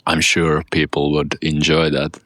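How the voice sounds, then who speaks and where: A Finnish man with a monotone voice, chatting casually in a dry, self-deprecating way on his vlog, laughing often. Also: rumbling low, measured pace, no echo. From Finland. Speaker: vlogger. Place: outdoors on a boat.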